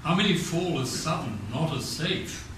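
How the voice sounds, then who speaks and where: An older man in his seventies with an English accent, formally reciting poetry in a measured, declamatory manner. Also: on the high side, expressive, a bit bright. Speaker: reader of poetry at a talk. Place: community meeting room with audience. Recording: very echoey room, distant mic, faint noise.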